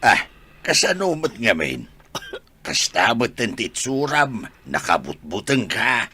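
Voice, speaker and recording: Man playing a sharp, husky, scolding old father. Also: lowish voice, very animated, takes it slowly, exaggerated voice. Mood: scolding, stern. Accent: Ilocano. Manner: scolding, blunt. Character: stern, reproachful father of a grown son. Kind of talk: Ilocano audio drama acting.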